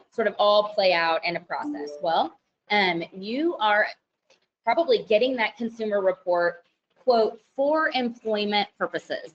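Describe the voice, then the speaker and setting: A woman with a loud voice at a middle pitch walks listeners through legal rules in a conversational webinar. She explains patiently and corrects herself as she goes. The audio sounds a little dull, as from an online meeting. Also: a little hiss or hum. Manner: conversational and explanatory, frequent fillers and self-corrections. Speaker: company lawyer presenting a compliance webinar. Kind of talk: webinar presentation. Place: office at company headquarters, heavy rain outside.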